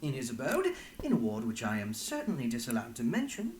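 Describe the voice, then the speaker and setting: Low-pitched, swooping, theatrical go-between. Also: female voice, huge pitch swings, a little fast, a little mellow, slight background noise, exaggerated voice. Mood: florid, showy. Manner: florid, archaic, pompous phrasing. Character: theatrical, sly go-between with florid manners. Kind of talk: theatrical video game voice acting.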